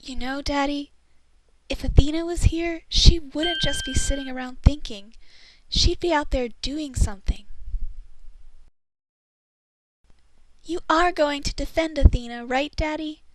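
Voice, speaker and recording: A high, girlish voice for a spirited teenage daughter, acted by a woman. She is earnest, supportive and encouraging, in the manner of a dubbed visual novel game. The recording is close and dry. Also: moderate pace, dry recording, natural voice, poor audio. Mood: prodding. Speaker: voice actor in a fan dub.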